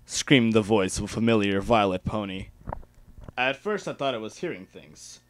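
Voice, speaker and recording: A man's voice: fairly low pitch, animated, moderate pace, slight background noise. A man reading first-person fan fiction aloud as an amateur dramatic narrator.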